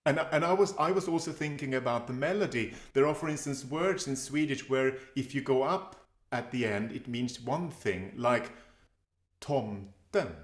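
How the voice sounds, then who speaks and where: A man's voice: mid-range voice, moderate pace. A man speaking as a language teacher in a question-and-answer session, thoughtful and hesitant in his phrasing. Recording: roomy, echoey sound.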